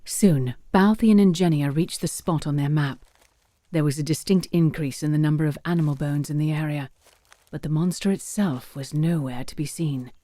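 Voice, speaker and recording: A woman narrates an audio drama in scripted storytelling style. Her voice is very low and dark, recorded dry and close. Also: normal speed, no echo, soft background music.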